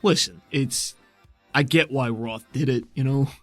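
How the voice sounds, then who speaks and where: Man acting out folksy bodyguard sidekick, easygoing, colloquial, halting, dry close sound, faint music. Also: medium pitch, dry recording, close to an everyday voice. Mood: laid-back, puzzled.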